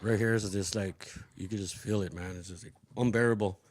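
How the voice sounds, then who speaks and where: A man's voice: lowish voice, steady tone, brisk, no room sound, studio quality. Hesitant, rambling man in his fifties. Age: 50s. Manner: rambling, hesitant with many fillers. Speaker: podcast host. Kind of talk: casual podcast chat.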